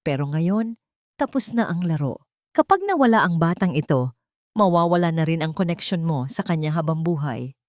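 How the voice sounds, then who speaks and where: A woman's voice: fairly low pitch, a little mellow, no room sound, studio quality, close to an everyday voice. A woman voicing a jealous, menacing rich woman villain in a dramatic audio story.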